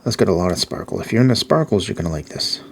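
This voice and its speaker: Gravelly low-voiced man with Massachusetts accent. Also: very animated, rapid-fire, a little hiss or hum. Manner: filler-heavy, repeats praise words. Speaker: audio gear reviewer. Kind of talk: casual product review.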